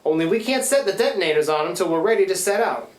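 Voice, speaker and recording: A man's voice: high voice, animated, fast. A man playing a scrappy, stubborn but devoted riverboat engine man in a radio play, with folksy, ungrammatical speech. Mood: confident. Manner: folksy, ungrammatical, hesitant when tender. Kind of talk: old-time radio play acting. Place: live theatre stage. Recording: roomy live stage sound.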